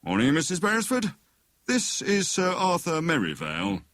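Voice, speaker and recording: A man voicing a stiff, solemn police inspector with a British accent, politely formal and a little halting, in an audiobook. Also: a little high, huge pitch swings, fairly bright tone, no room sound, pitched above the performer's own voice. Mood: formal greeting. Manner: formal, hesitant fillers.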